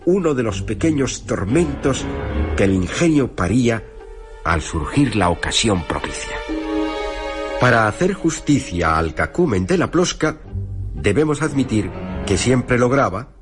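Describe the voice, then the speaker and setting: A man narrating a literary radio drama as a storyteller, with wry, formal and deliberate phrasing. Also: medium pitch, very animated. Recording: music playing behind the narration.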